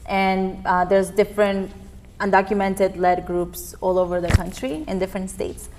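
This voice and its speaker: A woman's voice: medium pitch, fairly even intonation, moderate pace, noisy background. A young woman from Peru, a youth immigrant activist, giving earnest interview answers with frequent ums. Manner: earnest, many fillers.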